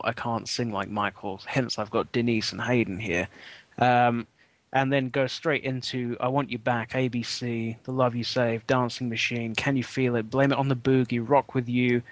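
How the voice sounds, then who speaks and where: A man's voice: mid-range voice, no room sound. A man co-hosting a podcast, telling a story in a casual, wry way with lots of ums. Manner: chatty, wry storytelling with many fillers. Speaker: podcast co-host. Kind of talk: casual chat.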